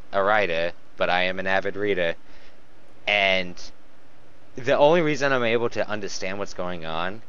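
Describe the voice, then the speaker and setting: A young man in casual fan chat, halting but opinionated, on a lo-fi voice call. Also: lowish voice, a bit bright, clear, resonant tone. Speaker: co-host commentator.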